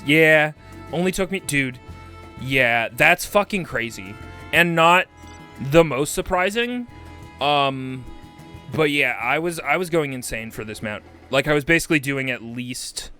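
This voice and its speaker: A man's voice: medium pitch, pushed and bright. A man hosting a game livestream, chatting casually and exclaiming often, with sweary asides. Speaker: livestream host.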